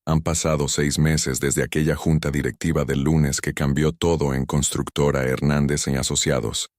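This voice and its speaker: A man narrating a dramatic first-person story in a measured, stern manner. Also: a bass voice, flat delivery, darkish tone, dry recording, clean studio sound.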